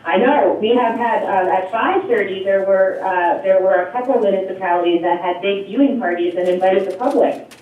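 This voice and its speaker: A woman presenting a program at a board meeting, explaining and answering questions with a loud voice, many fillers and light laughs. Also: mid-range voice, normal speed. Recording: echoey, distant, tinny phone-like line.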